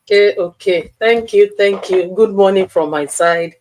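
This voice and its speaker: A woman's voice: mid-range voice, measured pace, a little mellow, a little hiss or hum. A woman chatting informally as a co-presenter on a live show, often repeating her phrases.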